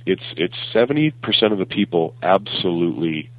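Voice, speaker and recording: A man with a cold and a raspy voice, chatting casually and animatedly about his film work. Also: deep voice, a little fast, no echo. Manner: chatty, animated, with many fillers and restarts. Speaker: film graphic consultant and co-host. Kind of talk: casual podcast chat. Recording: tinny phone line.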